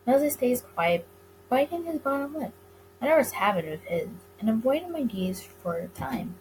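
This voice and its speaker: Female game narrator, higher voice, expressive story reading, noticeable background noise. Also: moderate pace, low-quality recording. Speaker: gaming video creator. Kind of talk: reading a visual novel aloud, dramatic narration.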